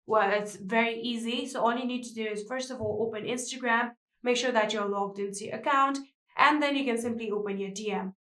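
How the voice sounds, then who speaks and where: A woman's voice: on the high side, steady tone, fairly quick, fairly bright tone, reverberant. A woman narrating a step-by-step how-to tutorial as its presenter.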